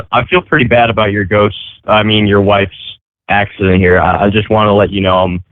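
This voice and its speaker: A man prank-calling a radio show, calm and deadpan as he mocks the host. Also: fairly low pitch, moderate pace, no echo. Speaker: prank caller. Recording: dry tinny phone line.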